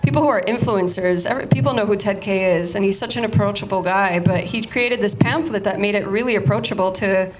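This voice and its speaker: A young woman talks informally to a room about her art, her voice at a middle pitch. She often circles back and restarts her sentences. A faint hiss of noise sits behind her. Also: a little fast, few pauses, poor audio. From United States, Cuban-American family. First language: Spanish. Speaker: artist giving a talk. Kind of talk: casual artist talk to an audience.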